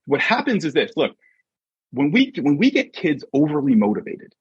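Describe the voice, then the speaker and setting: A husky-voiced man giving an emphatic, conversational motivational talk as a coach and podcast guest, often checking in with short rhetorical questions. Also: on the high side, huge pitch swings, moderate pace, no room sound. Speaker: podcast guest, sports mindset coach and author. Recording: dull, muffled lo-fi audio.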